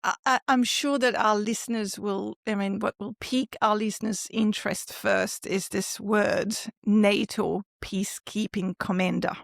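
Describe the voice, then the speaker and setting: A woman hosting a podcast, engaged and asking questions with warm laughter. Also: mid-range voice, steady tone, unhurried, gravelly, hardly any pauses, no room sound, studio quality. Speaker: podcast host. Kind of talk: casual interview.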